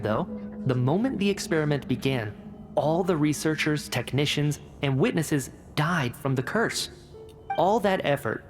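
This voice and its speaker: An actor playing a brisk, coolly rational scientist in a video game, articulate and clinical as he reports. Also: fairly high pitch, close to an everyday voice. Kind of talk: video game cutscene acting.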